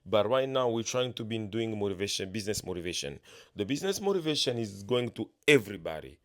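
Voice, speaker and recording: A man's voice: lowish voice, sing-song, fairly bright tone. Earnest non-native English-speaking man. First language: not English. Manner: earnest, halting, many restarts and fillers. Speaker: motivational speaker. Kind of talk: casual motivational monologue.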